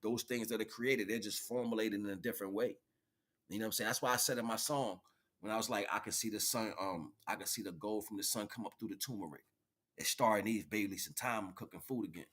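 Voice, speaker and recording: A man in his forties with a fairly low voice hosts an informal livestream. He rambles in slangy speech, circling back over his phrases and often checking that the listener follows. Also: fast talker, a bit bright. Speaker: livestream host.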